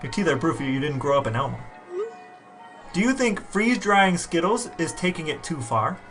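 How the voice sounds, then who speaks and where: A man's voice: fairly high pitch, very animated, normal speed, over music. A man co-hosting a podcast, chatting and asking questions in a wry, mock-dramatic way. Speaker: podcast co-host. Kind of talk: casual chat and interviewing.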